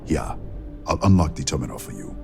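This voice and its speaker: An actor playing a helpful, weary facility worker in a video game, speaking in a casual, understated, matter-of-fact way. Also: male voice, extremely low pitch, sing-song, brisk, vocal fry, over music, full character voice.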